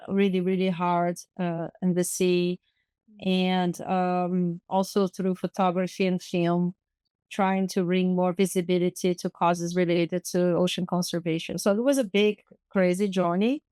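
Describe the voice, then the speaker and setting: Brazilian woman on a podcast, kinda low smooth voice, dry sound, lots of ums. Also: warm tone, no room sound. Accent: Brazilian Portuguese. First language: Portuguese. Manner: thoughtful, searching for words, many fillers. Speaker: guest artist and activist. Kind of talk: podcast interview.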